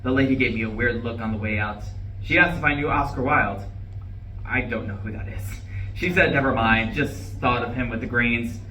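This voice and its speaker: A man's voice: mid-range voice, normal speed, pushed and bright, lightly acted. A man in a live play reading, playing a nervous, self-justifying husband who rambles and corrects himself. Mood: nervous, defensive. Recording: echoey distant live room recording, faint noise.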